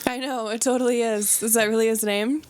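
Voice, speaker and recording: A woman's voice: fairly high pitch, steady tone, fairly quick, a little mellow, slight background noise. Playful Midwestern woman, brief replies. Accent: American Midwest. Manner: short affirming replies, playful. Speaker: podcast guest, esthetician. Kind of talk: casual chat.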